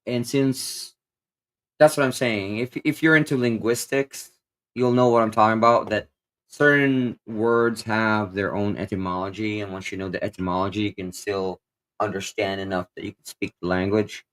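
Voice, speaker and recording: A man's voice: on the low side, fairly even intonation, normal speed, fairly bright tone. A man with a Kapampangan accent, co-hosting a casual livestream and reading viewer comments aloud in a rambling way. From Philippines. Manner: rambling, lots of "um" and "you know what I'm saying", chuckles. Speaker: livestream co-host.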